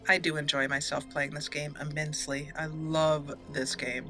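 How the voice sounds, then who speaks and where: A woman's voice: deep voice, level tone, moderate pace, over music. A woman hosting a let's play, giving casual, playful commentary and chatting to the game's characters. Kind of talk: casual live gameplay commentary.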